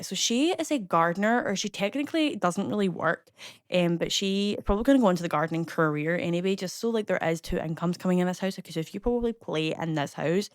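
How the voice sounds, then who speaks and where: A young Scottish woman chatting casually as a voice-over, rambling with lots of fillers and quick asides. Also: medium pitch, no echo, clean studio sound. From Scotland. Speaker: YouTuber narrating a game build.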